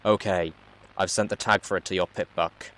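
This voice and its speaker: A man's voice: deep voice, fairly even intonation, moderate pace, a bit bright. A man voicing a mysterious hacker who speaks through a robot in a storybook reading, a blunt, helpful guide with a casual, matter-of-fact manner. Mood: advising.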